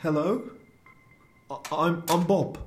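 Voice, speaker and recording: A man's voice: on the high side, steady tone, takes it slowly, reverberant, slight background noise. An actor playing a nervous, bewildered male comedian in an audio drama, hesitant, awkward and stammering. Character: nervous, bewildered male comedian who lost his humour.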